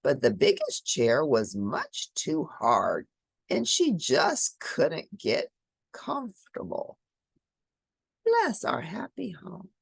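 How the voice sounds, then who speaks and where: A woman's voice: low-pitched, wildly swooping pitch, slow, gravelly, breathy edge, no echo. A woman reading a picture book aloud to children, expressively, with dramatic emphasis and chatty asides. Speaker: children's storybook reader.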